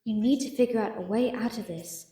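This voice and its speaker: A woman's voice: on the high side, fairly quick, warm tone, far from the mic, lightly acted. A woman playing a sardonic, anxious captive merchant, muttering a sarcastic inner monologue in a fantasy audio drama. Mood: anxious, exasperated. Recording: roomy, echoing, off-mic sound.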